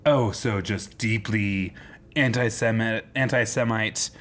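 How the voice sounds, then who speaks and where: A man's voice: lowish voice, takes it slowly, a bit bright. A man chatting casually as a podcast co-host, reacting to a story with wry, playful interjections. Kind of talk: casual chat with short reactions.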